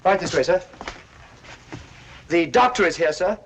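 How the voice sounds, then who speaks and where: An actor playing a polite, persistent ship's steward in 1940s film style. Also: male voice, sits high, expressive, unhurried, fairly bright tone, husky, full character voice. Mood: polite, insistent. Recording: faintly noisy 1940s film soundtrack.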